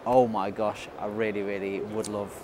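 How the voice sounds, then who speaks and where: A man in his thirties co-presenting with animated, effusive praise, standing in an exhibition hall. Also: fairly low pitch, normal speed, crowd noise. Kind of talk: casual review chat. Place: indoor motorcycle exhibition hall.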